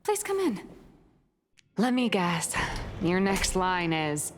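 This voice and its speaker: A woman's voice: lowish voice, very animated, takes it slowly, a little hiss or hum. A woman playing a smug, theatrical lady magazine president in a video game, with a teasing, self-assured delivery.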